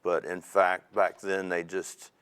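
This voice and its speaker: A man in his fifties from Indiana, an Army veteran telling his story in a measured, reflective way with frequent pauses. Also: deep voice, little pitch movement, fairly bright tone, smooth. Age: 50s. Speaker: interview guest, Army veteran. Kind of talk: oral history interview.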